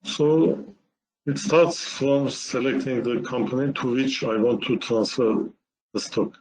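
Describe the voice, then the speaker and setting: Slow-paced man demonstrating software. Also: mid-range voice, rough recording. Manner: halting, step-by-step explaining in short phrases. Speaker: software presenter.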